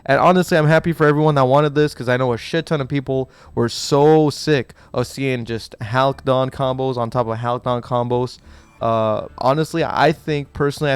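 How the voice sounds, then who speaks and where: Excitable young man with a cough. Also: medium pitch, clear, resonant tone. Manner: excitable, rambling, swears casually. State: sick with a cough. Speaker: gaming channel host. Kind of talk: casual reaction commentary. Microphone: desk mic set too close.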